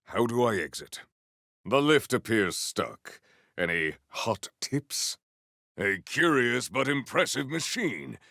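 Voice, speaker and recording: A man voicing a big, formal, earnest warrior in a video game, with stately, measured phrasing and a solemn temper. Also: sing-song, bright, forceful tone, no echo, clean studio sound, heavily acted. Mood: measured, grave. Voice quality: deep, gravelly.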